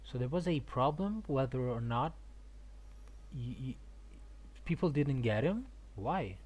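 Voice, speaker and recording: Casual, halting male game streamer. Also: medium pitch, animated, darkish tone, slightly rough. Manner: halting, repetitive. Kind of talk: casual live stream chat, game reactions. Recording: faint background noise.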